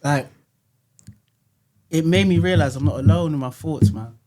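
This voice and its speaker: Earnest man, slightly high voice. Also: moderate pace, dark tone. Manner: earnest, reflective, repeats words while thinking. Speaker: music artist guest. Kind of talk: casual podcast conversation. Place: podcast studio.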